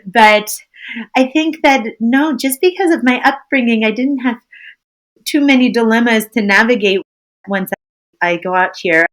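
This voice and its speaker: A woman from Canada with a friendly, sing-songy voice, a guest telling lively stories in a casual interview and laughing often. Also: on the high side, measured pace, low-quality recording. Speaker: tour business owner, guest.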